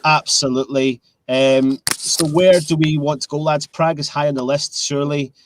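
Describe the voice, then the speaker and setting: A man's voice: on the high side, steady tone, normal speed, a little hiss or hum. A young Scottish man hosting a casual live fan stream, chatting and reading out viewer comments over a video call. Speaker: host.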